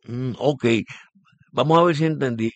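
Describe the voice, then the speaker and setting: A man's voice: mid-range voice, normal speed, no room sound, lo-fi sound. A man hosting a business radio show, interviewing a guest and often rephrasing his questions with fillers. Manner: repeats and rephrases, many fillers.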